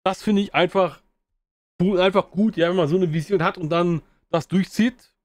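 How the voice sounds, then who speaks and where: A man's voice: high-pitched, steady tone, moderate pace, no room sound. A man giving a casual spoken review of an old video game, rambling with many fillers and restarts.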